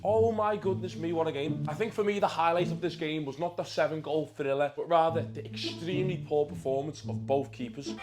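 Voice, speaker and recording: Young male vlogger, slightly hoarse, high-ish voice, excitable and sweary, faint music bed. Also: a little fast, hardly any pauses. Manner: excitable and sweary, with dry asides. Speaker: football vlogger and fan. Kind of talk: casual football recap vlog.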